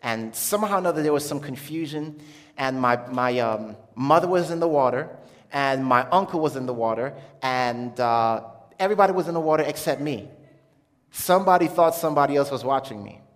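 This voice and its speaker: A man's voice: medium pitch, expressive, a little fast. A man giving an informal religious testimony to an audience, telling his story conversationally and often searching for words. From Jamaica, then Brooklyn, New York from childhood. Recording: echoey hall with long reverb.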